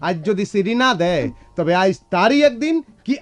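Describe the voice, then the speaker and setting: Excitable, high-voiced rustic Bengali brother. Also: male voice, normal speed. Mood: indignant scolding. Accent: rural eastern Bengali. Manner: agitated, rambling, blustering. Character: excitable, doting older brother, rustic Bangladeshi villager. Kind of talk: radio play acting. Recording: music behind, radio drama production.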